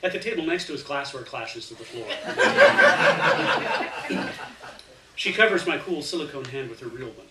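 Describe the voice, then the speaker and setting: Male story reader for literary fiction: bright, expressive delivery, recorded at a distance in a roomy space. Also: fairly high pitch, a little slow, pauses often. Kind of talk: live literary fiction reading, first-person narration. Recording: roomy, distant mic, faint hiss.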